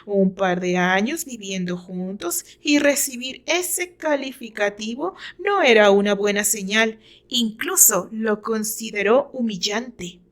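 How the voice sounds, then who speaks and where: A woman's voice: mid-range voice, lively intonation, slow pace, few pauses. A woman with a Mexican accent reading her own fiction aloud as dramatic scripted narration.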